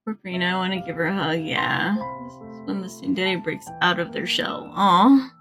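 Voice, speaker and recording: A woman's voice: fairly low pitch, normal speed, vocal fry. A woman livestreaming a game, chatting casually and playfully with her viewers as she plays. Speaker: live streamer. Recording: faint game music behind.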